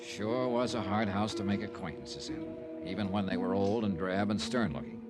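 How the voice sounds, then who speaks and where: An actor playing a wry, hard-boiled insurance investigator in a 1950s radio drama, with punchy, clipped delivery. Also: male voice, lowish voice, moderate pace, natural voice. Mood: wry narrating. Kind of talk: 1950s radio detective acting and narration. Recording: old radio recording with music.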